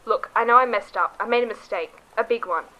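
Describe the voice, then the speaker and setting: A woman's voice: on the high side, a little fast, very noisy, lightly acted. A woman playing a lonely, guilt-ridden young woman who pleads emotionally, in an amateur radio drama. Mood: lonely, apologetic.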